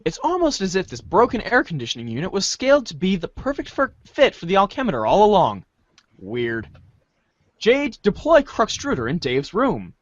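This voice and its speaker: A man's voice: high-pitched, huge pitch swings, moderate pace, no echo, dull audio. A man hosting and narrating a fan readers' theater of a webcomic. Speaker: host and narrator.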